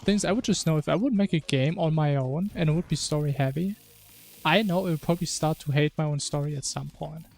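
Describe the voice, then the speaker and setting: A man's voice: on the high side, brisk, vocal fry, a little mellow. A man streaming a video game, chatting casually and musing in a rambling way. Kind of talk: casual chat while gaming.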